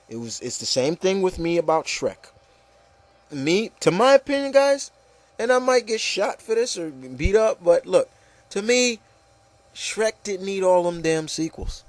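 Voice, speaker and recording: Higher-voiced man in emphatic casual discussion. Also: no echo. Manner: emphatic, repeats phrases for emphasis. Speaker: podcast host.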